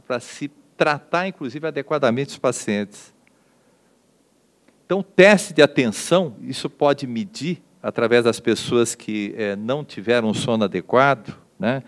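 A middle-aged man from Brazil lectures from a podium microphone in a conference auditorium. His pitch sits at an ordinary middle level for a man. He speaks in a measured way, often pausing on fillers and checking in with tag questions. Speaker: lecturer at a medical forum.

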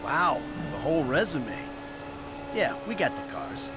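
A man's voice: on the high side, sing-song, a little slow, loud music behind the voice. An actor playing a cocky, sardonic male criminal in a video game. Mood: sardonic, relaxed. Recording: loud sirens and engine noise.

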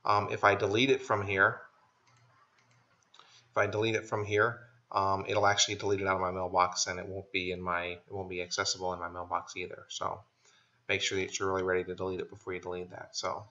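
An American man giving an informal, plain-spoken software tutorial as a voice-over. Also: low-pitched, monotone, a little fast. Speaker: software trainer. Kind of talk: casual screen-share tutorial narration. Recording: dull lo-fi voice-over.

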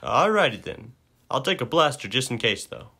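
Man as breezy rebel adventurer, animated intonation, casual, halting, deadpan, amateur game dub. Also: mid-range voice, vocal fry, breathy edge, heavily acted. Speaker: amateur fan-dub voice actor. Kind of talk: loose improvised game fandub.

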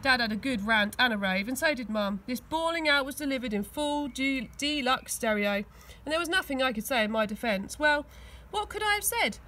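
A woman's voice: sits high, a little fast, sharp tone. A woman reading a children's story aloud expressively, recorded outdoors. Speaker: school teaching assistant reading aloud. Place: outdoors on grass.

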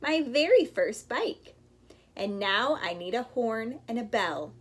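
Woman voicing an excitable, high-pitched little boy frog. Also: slow pace, breathy edge, exaggerated voice. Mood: eager. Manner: exclamatory, eager, bargaining. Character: excitable, eager, stubborn young boy frog.

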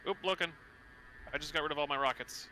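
Man, streamer, flight sim chat, relaxed, bright tone. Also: fairly high pitch, quick pace, a little hiss or hum, low-quality recording. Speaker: streamer playing a flight simulator. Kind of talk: casual gaming chat.

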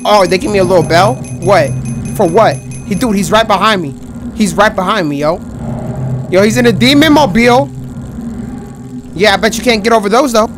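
A young man commentating a horror game in a loud, excitable, trash-talking way, his voice going hoarse, recorded on a headset mic at a home setup. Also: sits high, moderate pace, long pauses, over music. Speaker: gaming streamer.

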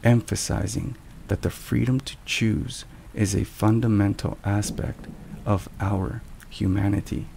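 A man speaks slowly in a hushed, gravelly voice, narrating a devotional in soft ASMR style. His delivery is measured and solemn, with rain and thunder clearly heard behind him. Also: on the low side, a little hiss or hum. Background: steady rain and thunder.

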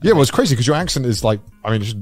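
Animated podcast host, man. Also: mid-range voice, very fast, soft background music. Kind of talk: casual chat.

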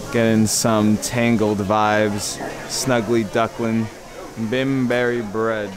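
Chatty young man vlogging a buffet. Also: mid-range voice. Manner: upbeat, chatty, reads signs aloud. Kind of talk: casual travel vlog narration. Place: indoor buffet restaurant on a cruise ship. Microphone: handheld camera at arm's length. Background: background music playing.

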